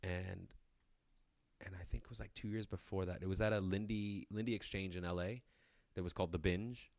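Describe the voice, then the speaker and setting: A man's voice: low voice, fairly even intonation, a little fast, darkish tone, no room sound. A man in his thirties co-hosting a casual podcast chat, rambling and restarting his sentences, often laughing. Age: 30s. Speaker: podcast co-host.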